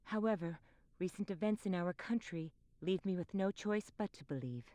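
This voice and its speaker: A stately video game queen acted by a woman, with a lowish voice recorded dry and close. She is formal and measured, speaking with quiet command. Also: expressive, no echo, close to an everyday voice. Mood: measured, grave. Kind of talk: scripted video game voice acting.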